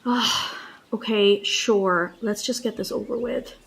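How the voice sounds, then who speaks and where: Slow, sarcastic woman reporter. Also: medium pitch, creaky, a bit bright, slightly rough, poor audio. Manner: wry, reluctant and sarcastic. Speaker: comedy reporter. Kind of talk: scripted comedy sketch.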